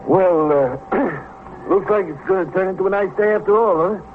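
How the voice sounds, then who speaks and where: A man's voice: high-pitched, normal speed, music in the background, natural voice. An American man playing a nosy, folksy building superintendent in a vintage radio crime drama, garrulous, hesitant and colloquial. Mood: chatty, nervous. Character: chatty, nosy, folksy married building superintendent, eager to help. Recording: noisy, narrow-band old radio recording.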